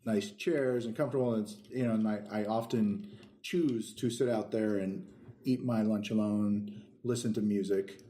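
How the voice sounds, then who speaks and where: A man chatting casually as a podcast co-host, in a hesitant, halting manner. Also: fairly low pitch, fairly even intonation, dark tone. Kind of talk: casual chat. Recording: echoey room.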